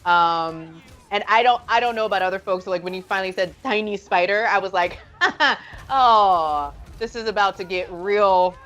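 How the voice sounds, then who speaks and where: A woman's voice: mid-range voice, moderate pace, fairly bright tone, highs cut off. Enthusiastic woman hosting live chat. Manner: enthusiastic, rambling questions. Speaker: host.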